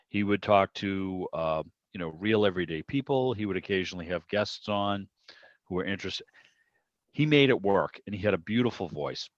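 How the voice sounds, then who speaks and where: A man's voice: on the low side, fairly bright tone. A man chatting casually as an interviewer, telling anecdotes in a rambling way over a video call. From Boston area, United States. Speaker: interviewer and club host. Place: indoor room on a video call. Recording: roomy, dull lo-fi call audio.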